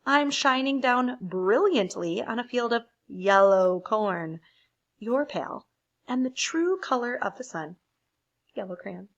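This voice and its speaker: Bossy, boastful storybook crayon, played by a woman reader, higher female register, slow emphatic delivery. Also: animated, muffled recording, full character voice. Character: indignant bossy yellow crayon, sure it's right. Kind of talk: picture book read aloud.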